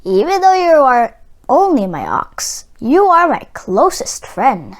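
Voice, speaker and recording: A dreamy young storybook cowherd, read by a woman, speaks gently and wistfully. The voice is high and slow, with plenty of rise and fall. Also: very animated, heavily acted. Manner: wistful, soft-spoken. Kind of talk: storybook read-aloud for children.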